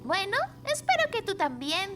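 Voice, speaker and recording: Very high, sweet little cartoon girl. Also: sing-song, moderate pace, heavy background noise. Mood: kindly. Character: sweet, perky, kindly little cartoon girls. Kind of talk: children's cartoon dub. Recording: loud music behind the voice.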